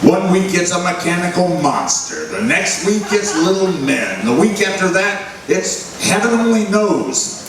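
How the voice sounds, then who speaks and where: A curt, blustery newspaper boss played by a man sounds harried in a fairly high voice. The old-radio performance is caught from a distance in a noisy, echoing space. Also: big pitch swings, a little slow. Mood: exasperated. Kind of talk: live recreation of an old-time radio serial. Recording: very echoey hall, far microphone, loud noise.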